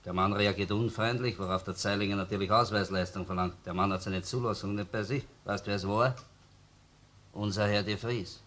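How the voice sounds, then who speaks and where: A man's voice: fairly low pitch, steady tone, a little fast, a bit bright, natural voice. A man with a Viennese accent playing a doubting, persistent police detective in a radio crime drama, dry and colloquial. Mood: skeptical. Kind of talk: German-language radio crime drama acting.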